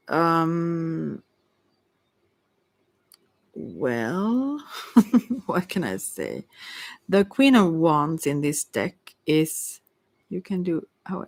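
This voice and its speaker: Brief: non-native English speaker as an ASMR tarot reader, unhurried, rambling and halting, in a very dry recording. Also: medium pitch, dry recording. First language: not English. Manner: rambling, halting, trailing off mid-thought. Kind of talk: unscripted ASMR tarot reading.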